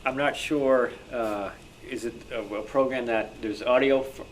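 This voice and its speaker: A man answering as a panelist at a club meeting, unscripted, halting and doubling back as he talks. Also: medium pitch, steady tone. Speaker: panelist, old-time radio researcher. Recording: noisy live meeting room recording.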